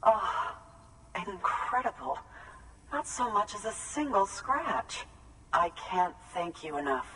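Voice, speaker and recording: An actor playing a jittery, polite collector in a video game, hesitant and exclamatory, quick to gush. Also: male voice, high-pitched, very animated, sharp tone, far from the mic, a little hiss or hum, exaggerated voice, rough recording. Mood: awed, delighted. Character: jittery, effusively grateful collector, wary then delighted. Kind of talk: dramatic video game acting.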